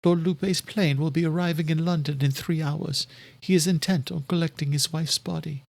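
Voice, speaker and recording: Man playing female vampire servant, high hushed voice, deferential, anxious, audiobook drama, dry sound. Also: fairly even intonation, moderate pace, vocal fry, dry recording. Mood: grave, reporting.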